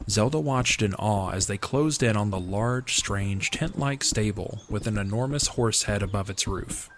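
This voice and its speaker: A man reading scripted fantasy story narration in a measured storytelling manner. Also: fairly low pitch, a little hiss or hum. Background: background music.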